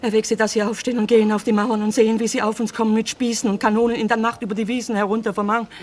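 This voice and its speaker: A woman's voice: on the high side, little pitch movement, very fast, darkish tone, lightly acted. An actress playing a frightened peasant woman who pleads for her family in rustic speech, in a radio drama. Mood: urgent pleading. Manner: plain rustic dialect phrasing, imploring. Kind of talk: stage-like radio drama acting.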